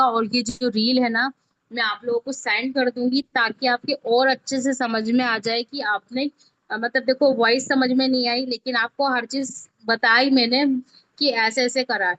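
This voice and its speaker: A woman's voice: a little high, steady tone, a little slow, a bit bright, no echo. A woman with an Indian accent teaching an informal step-by-step online tutorial in a conversational way, often checking that learners follow. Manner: conversational, repeatedly checks understanding. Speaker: video editing teacher. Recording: dull, lo-fi online class audio.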